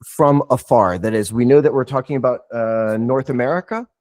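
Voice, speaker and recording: A man's voice: mid-range voice, moderate pace, darkish tone, no room sound, clean studio sound. Session host, male, easygoing guiding delivery over a video call. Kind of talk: casual business video call.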